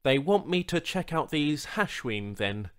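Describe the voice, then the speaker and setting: A man's voice: on the high side, very animated, a little slow, a bit bright, clear, resonant tone, voice pushed up. A man voicing a friendly, patient human spacefarer with a plain-spoken, tactful manner in audiobook dialogue. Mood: curious, polite.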